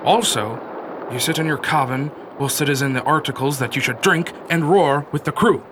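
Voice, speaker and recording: An actor playing a discontented, rough pirate crewman with a complaining delivery in an audio drama. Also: normal speed, close to an everyday voice. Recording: noisy audio with steady rumble.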